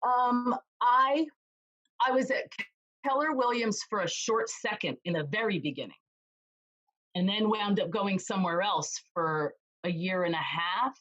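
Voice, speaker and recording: A woman real estate agent chatting as an interview guest, with a loud voice, telling stories lively and acting out what others said. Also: medium pitch, a little slow, dull audio. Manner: animated storyteller, acts out quoted voices. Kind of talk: casual interview chat.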